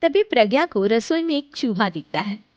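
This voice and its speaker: A woman's voice: a little high, lively intonation, normal speed, lo-fi sound. A woman reading a scripted narration for a cartoon family story.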